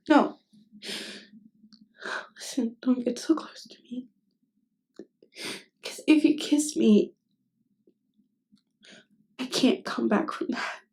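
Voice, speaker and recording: A woman acts out a tender female best friend who is hopelessly in love. Her voice sits on the high side and moves very slowly, with halting, earnest phrasing. The delivery is intimate ASMR roleplay, emotionally open and vulnerable. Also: warm tone. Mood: tender, hushed. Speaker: voice actress.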